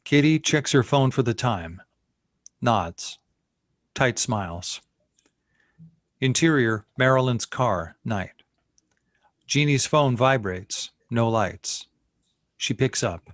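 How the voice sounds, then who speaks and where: A man's voice: medium pitch, animated, slow, long pauses, no echo, studio quality. A man reading scene headings and stage directions as narrator at a screenplay table read.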